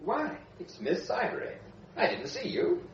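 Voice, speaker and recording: Breezy British painter, very lively. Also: male voice, extremely high pitch, a little slow, exaggerated voice. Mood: jaunty, teasing. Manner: jaunty, bantering, old-fashioned slangy speech. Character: breezy, flippant male society portrait painter. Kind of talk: British radio drama acting. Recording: muffled, noisy old radio drama recording.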